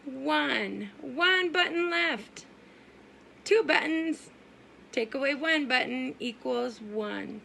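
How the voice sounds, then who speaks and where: A woman reads aloud at a children's story time in a high, bright voice. She goes slowly, with sing-song exaggeration and questions to her young listeners. There is faint noise behind her. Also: expressive, gravelly, rough recording. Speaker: preschool teacher reading aloud.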